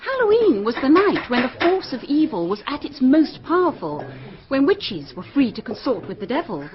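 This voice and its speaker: A woman's voice: high-pitched, sing-song, normal speed. A woman playing a knowledgeable schoolteacher with an English accent in a radio drama. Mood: instructive. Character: knowledgeable schoolteacher explaining eerie folklore. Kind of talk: British radio drama acting. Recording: dull radio recording with busy chatter.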